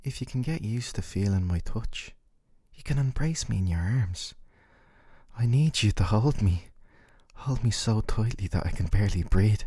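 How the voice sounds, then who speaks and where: Gentle yandere dating-sim boyfriend, played by a male actor: low-pitched, clingy and possessive, intimate ASMR delivery, very dry close sound. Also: expressive, normal speed, husky, no echo. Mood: yearning, tender. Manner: tender, coaxing, clingy.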